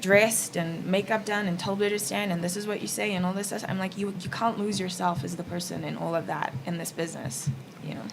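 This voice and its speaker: A woman with a fairly low voice talks casually as a panelist. She speaks conversationally, acting out bits of imagined dialogue. There is noticeable room noise around her. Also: fairly even intonation, fairly quick, gravelly, hardly any pauses. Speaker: panelist, actress. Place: festival roundtable, live event. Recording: noisy room ambience.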